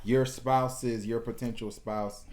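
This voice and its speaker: Laid back guy cohost, mid pitched voice, kinda rambles while he works out his point. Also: fairly even intonation, takes it slowly, darkish tone. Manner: easygoing, thinks aloud with restarts and fillers. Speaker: co-host of a reality show review. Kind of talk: casual chat.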